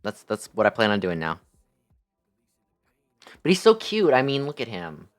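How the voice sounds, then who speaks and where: A man's voice: on the low side, huge pitch swings, moderate pace, soft background music. Young man chatting playfully on livestream. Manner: playful, affectionate, blows kisses to chatters. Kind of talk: casual livestream chat with viewers.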